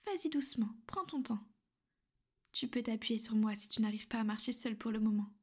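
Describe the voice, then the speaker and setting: A woman voicing a soothing vampire noblewoman who speaks gently and often in a hushed whisper. Her voice sits high and soft. She plays it as an intimate ASMR roleplay, caring on the surface but possessive underneath. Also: a little fast.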